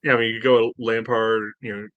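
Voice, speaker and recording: A man co-hosting a sports podcast, giving casual analysis in a measured, matter-of-fact way. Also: fairly low pitch, little pitch movement, no room sound, muffled recording. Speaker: podcast co-host.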